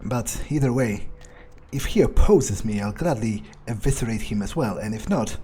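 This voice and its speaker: A man's voice: on the low side, animated, normal speed, warm tone, a little hiss or hum, natural voice. A man playing an arrogant, power-drunk vampire in an improvised in-character game monologue, sneering, profane and domineering. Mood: contemptuous, musing.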